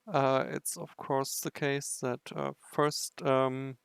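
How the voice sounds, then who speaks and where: Man, casual game commentary, low-pitched, hesitant, clear tone, dry close sound. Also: flat delivery, dry recording. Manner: hesitant, halting, thinking aloud. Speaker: game video narrator and player.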